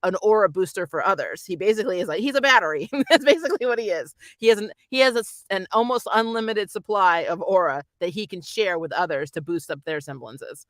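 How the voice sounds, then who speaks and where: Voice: medium pitch, fast talker, few pauses, a bit bright, dry recording. A reviewer chatting casually and emphatically, like a fan talking through an episode as host of a channel. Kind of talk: casual spoiler review chat.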